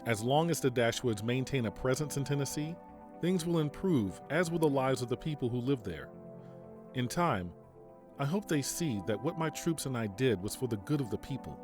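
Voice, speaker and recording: A man playing a stern, principled army general in an audio drama, speaking formally and deliberately. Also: mid-range voice, expressive, over music, lightly acted. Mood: grave, resolute. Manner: formal, measured, deliberate speech. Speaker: military general giving a radio interview.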